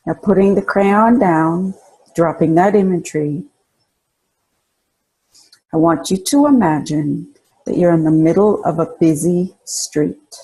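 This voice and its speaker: A woman narrates a guided relaxation meditation at a very unhurried pace. Her voice is soft-toned and mellow, with a husky, breathy texture. She gives calm, soothing instructions and leaves space between phrases. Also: fairly low pitch, poor audio.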